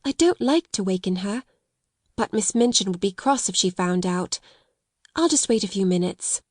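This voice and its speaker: A woman voicing a kind, dreamy young schoolgirl who speaks softly and reassuringly. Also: medium pitch, lively intonation, moderate pace, no echo, studio quality, natural voice. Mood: gentle. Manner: soft, gentle, reassuring.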